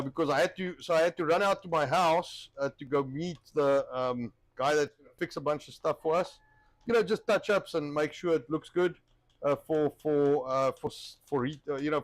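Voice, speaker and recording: A man with a somewhat high voice chats casually as the host of a cooking stream. He rambles and circles back over his own phrases. He is recovering from a cough and still has a wheezy chest. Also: poor audio. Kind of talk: casual cooking livestream chat.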